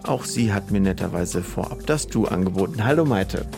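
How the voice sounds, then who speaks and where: A man hosting an interview podcast, reading prepared introductions and questions in a calm, measured way. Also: low voice, creaky, over music. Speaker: podcast host.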